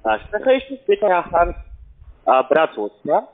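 A man with a foreign accent in German giving a hesitant, polite sales pitch as a call centre agent, often ending on little questions. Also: very animated, a little slow, a little hiss or hum. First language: not German. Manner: hesitant, polite, repeatedly tags sentences with questions. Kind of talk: halting phone sales pitch. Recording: muffled, narrow telephone line.

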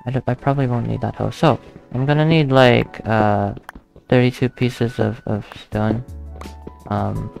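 Slow, hesitant young man with a gravelly edge. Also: medium pitch, steady tone. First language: not English. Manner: hesitant, thinking aloud, counting under his breath, nervous laughs, easily spooked. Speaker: gaming channel host. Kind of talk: casual let's play commentary. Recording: faint background music under the voice. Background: soft background music.

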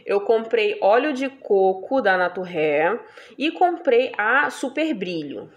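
A woman's voice: mid-range voice, animated, slow, muffled recording, noisy background. A young woman chatting casually about products she bought, asking her viewers for agreement every few lines. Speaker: beauty vlogger. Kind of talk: casual product haul chat.

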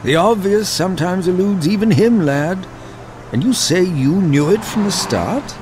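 An actor playing a dignified older butler in an animated comic, formal and dryly witty. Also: male voice, high-pitched, a little slow, a little hiss or hum. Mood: droll. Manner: dry, formal, wordy. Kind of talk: animated motion comic acting.

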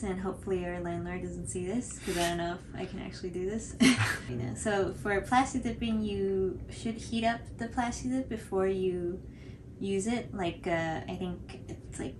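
Young woman, lowish pitch, casual tutorial host, noticeable background noise. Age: 20s. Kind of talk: casual unscripted tutorial commentary. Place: indoor living room, seated on couch.

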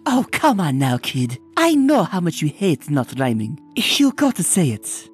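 A woman's voice: deep voice, sing-song, a little slow, husky, heavily acted. A woman voicing a frank, tender-hearted female zebra in a cartoon comic dub, casual in manner. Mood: coaxing. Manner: casual, coaxing. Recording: music behind the voice.

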